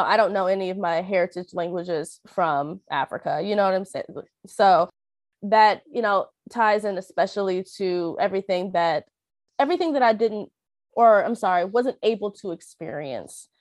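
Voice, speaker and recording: A woman's voice: mid-range voice, darkish tone, no echo. A young woman sharing a personal story in a hesitant, reflective way, with many fillers and restarts. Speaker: interviewee.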